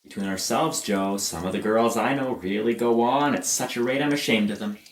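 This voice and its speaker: A man playing a playful, earnest, lovelorn young gentleman in a period audio play. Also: lowish voice, a little fast, echoey room, lightly acted.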